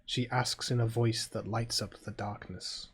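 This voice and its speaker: A British man hosting a game playthrough, chatting casually and reading story text aloud in a low-key, halting way. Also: fairly even intonation, a little hiss or hum. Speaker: gaming video host playing a visual novel.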